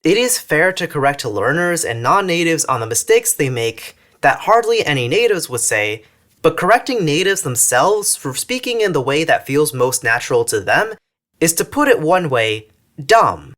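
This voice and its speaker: A man with a mostly General American accent and a faint Texan tinge reads a scripted video essay into a close mic. His slightly high voice swings up and down a lot, and he leans on words for emphasis. The tone is wry and a little sarcastic. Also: sing-song, moderate pace, a bit bright. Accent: mostly General American with slight Texan features. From northern Texas. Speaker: language YouTuber.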